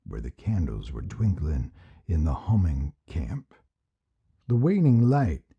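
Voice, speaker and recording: Man putting on a very deep, husky Southwestern storyteller. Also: sing-song, takes it slowly, lo-fi sound, heavily acted. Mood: theatrical, hushed drama. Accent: Southwestern United States, put on. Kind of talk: theatrical audiobook narration.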